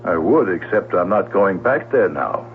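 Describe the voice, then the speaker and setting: An actor playing a polite, matter-of-fact police lieutenant in a 1970s radio drama. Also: male voice, sits low, lively intonation, moderate pace, a little hiss or hum. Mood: courteous, matter-of-fact. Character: brisk, courteous male police lieutenant. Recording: tinny, humming old radio broadcast.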